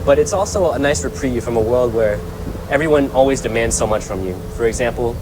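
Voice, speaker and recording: A man's voice: medium pitch, animated, fairly quick. A teenage boy talking candidly and casually about daily life as a student. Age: teens. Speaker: student speaker. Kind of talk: personal essay monologue. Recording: noisy outdoor and train ambience.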